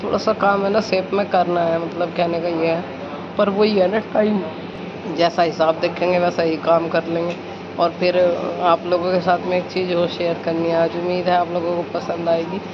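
A woman's voice: on the low side, flat delivery, normal speed. A woman, chatting casually as a home vlogger. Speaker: village vlogger. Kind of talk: casual home vlog chat and narration. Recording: muffled phone audio with clear background noise.

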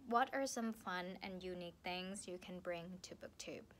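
A young woman from Thailand with a Thai accent, chatting playfully to the camera from her sofa about books, with lively hand gestures. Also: lowish voice, normal speed, slight background noise. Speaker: book vlogger. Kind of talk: casual vlog to camera. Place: living room, seated on a sofa. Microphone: camera in front.

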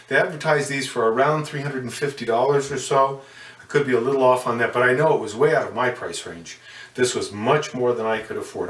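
A man's voice: mid-range voice, fairly bright tone. Older man demonstrating gear in a roomy space. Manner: folksy, with many uh fillers and self-corrections. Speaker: amateur astronomer demonstrating telescope mounts. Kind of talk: hands-on demonstration. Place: indoor room in front of a curtain. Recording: roomy, slightly distant sound.

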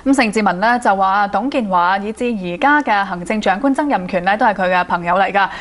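Steady young woman TV host. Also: medium pitch, a little fast. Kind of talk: scripted studio chat. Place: TV studio.

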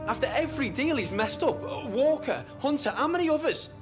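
An indignant young English minder in a game drama. His high voice swings around as he argues, aggrieved and confrontational, with music clearly behind. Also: animated, a little fast. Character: defensive, indignant young male friend and minder. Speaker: friend managing a player's money. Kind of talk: video game cutscene acting.

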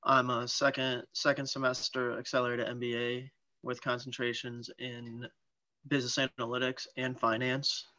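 A man's voice: mid-range voice, monotone, normal speed, sharp tone. A young man from Massachusetts, an MBA student, introducing himself in a matter-of-fact way over a video call. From Western Massachusetts. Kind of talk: self-introduction. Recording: dull video-call audio.

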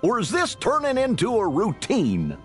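A man's voice: high-pitched, wildly swooping pitch, moderate pace. A good-natured, goofy cartoon dog in a game. His drawl is hiccupy and swoops wildly, with faint music underneath. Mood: goofy, puzzled.

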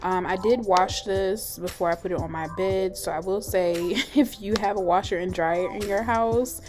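A young woman vlogger talking casually over her video, relaxed and chatty with lots of fillers. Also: medium pitch, moderate pace. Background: clear background music.

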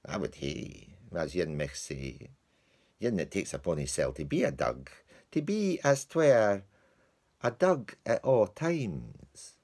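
Comic lowly servant with a broad Scottish brogue, deep and drawn out, as a male actor plays him. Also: big pitch swings, slow, darkish tone, full character voice. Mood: rueful. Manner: broad dialect storytelling, mock indignant, laughing. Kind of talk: theatrical monologue.

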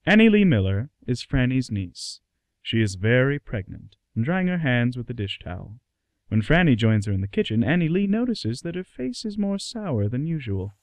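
A man giving a dramatic scripted narration with deliberate, suspenseful phrasing. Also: mid-range voice, very animated, darkish tone, dry recording.

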